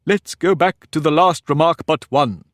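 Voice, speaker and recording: A man's voice: a little high, normal speed, no echo, clean studio sound, voice pushed up, lightly acted. A man voicing a pompous, touchy talking egg in a storybook reading, boastful and argumentative. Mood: lordly. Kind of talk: audiobook character reading.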